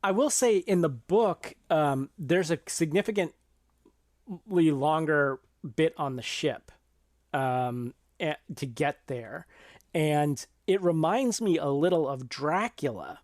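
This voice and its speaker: A woman's voice: deep voice, darkish tone, no room sound. Woman, podcast co-host, casual book and film chat, thoughtful, explanatory, dry room-free sound.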